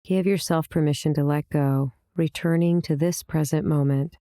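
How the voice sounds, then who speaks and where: A woman leading a guided meditation as the teacher, speaking in a calm, measured, gently instructing manner. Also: low voice, clean tone, no room sound, studio quality. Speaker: meditation teacher, series host.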